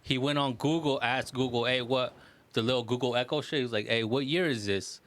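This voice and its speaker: A man co-hosting a casual podcast, telling stories excitedly in slangy, profane language full of "bro" and "like". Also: medium pitch, a little fast. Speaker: podcast co-host.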